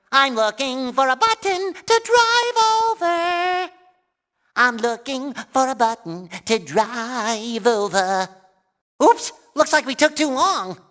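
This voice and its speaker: A very high, bright and nasal cartoon sea sponge, bubbling with childlike cheer. The delivery is squeaky and exuberant, swinging widely in pitch with playful earnestness, as lines for a video game. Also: male voice, heavily acted. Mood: excited.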